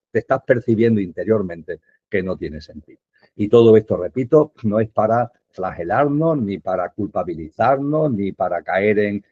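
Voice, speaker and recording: A man giving a calm spiritual talk, teaching through rhetorical questions. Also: fairly low pitch, breathy edge, dry recording. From Spain. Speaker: spiritual speaker. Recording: dull lo-fi sound.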